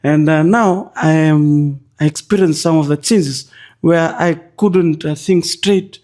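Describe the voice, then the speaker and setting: Young fijian-accented man, warm, mellow tone, hesitant, pausing often, slightly high voice. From Fiji. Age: 20s. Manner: hesitant, many pauses and uhs. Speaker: guest. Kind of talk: personal story in a podcast interview.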